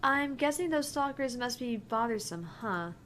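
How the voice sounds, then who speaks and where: A woman voicing a dry, skeptical young female bartender in a video game, reserved and deadpan. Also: on the high side, normal speed, voice pushed up. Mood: deadpan, puzzled. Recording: clear background noise.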